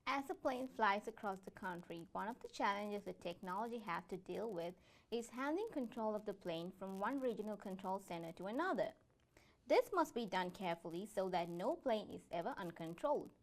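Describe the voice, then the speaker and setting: A woman's voice: medium pitch, animated, a little fast. A woman presenting a scripted educational explainer.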